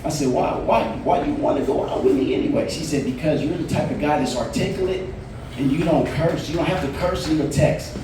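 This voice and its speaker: A man's voice: fairly high pitch, brisk, recorded from a distance, background noise. A man in his late forties doing a stand-up comedy set in a bar, telling stories in a laid-back way with punchlines. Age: 40s. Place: bar stage. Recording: roomy bar, voice from afar.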